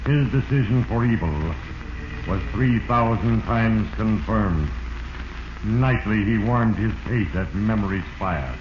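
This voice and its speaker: A man playing Fate, an ominous narrator of a 1940s radio drama, speaking in a grave, portentous, deliberate way. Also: on the low side. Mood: grave, condemning. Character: Fate, ominous male narrator judging doomed mortals. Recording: noisy, dull, echoey 1940s radio recording.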